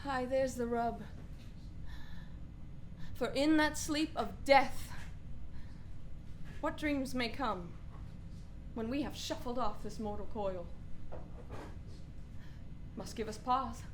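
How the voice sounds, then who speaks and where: A woman playing an anguished, brooding young prince in a Shakespearean radio play, speaking in halting, deliberate soliloquy. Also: on the high side, slight background noise. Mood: brooding, despairing.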